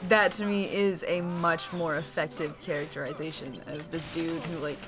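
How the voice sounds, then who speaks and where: A man co-hosting a game playthrough, chatting and telling an animated story. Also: on the high side, normal speed. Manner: animated storyteller, laughs easily. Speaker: let's play co-host. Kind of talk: casual chat over gameplay. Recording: faint game music behind.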